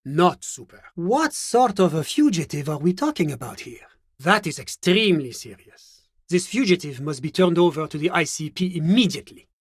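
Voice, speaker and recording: An actor playing a genteel, smug bureaucrat in a video game, a devoted moralist who speaks in polite, officious, measured formal phrases. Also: male voice, a little high, big pitch swings, breathy edge, no room sound, studio quality. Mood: prim, officious. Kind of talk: scripted game dialogue.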